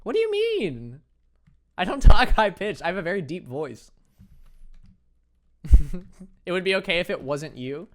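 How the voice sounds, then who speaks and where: A young man whose voice sits light and high, talking casually to his viewers over a desk mic. He jokes at his own expense and laughs easily between comments. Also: big pitch swings, measured pace, long pauses. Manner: playful, self-mocking, laughs often. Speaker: streamer. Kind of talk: casual chat reading viewer messages while gaming.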